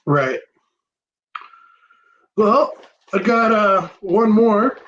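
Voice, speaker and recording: A man hosting a casual chat about records, relaxed and rambling with many pauses and ums. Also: high-pitched, lo-fi sound. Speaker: record store host.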